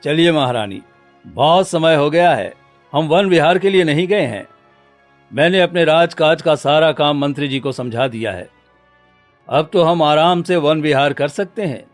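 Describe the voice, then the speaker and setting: Man acting a higher-voiced courteous storybook king. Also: lively intonation, unhurried, no echo, soft background music. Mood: caring. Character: kind, courteous king of a fairy tale.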